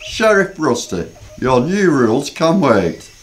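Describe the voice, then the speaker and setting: A man's voice: on the high side, very animated, slow. An amateur actor playing a courteous, dignified king in a radio play. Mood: regal. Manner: stately, courteous. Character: gracious male king, dignified. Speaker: King Richard.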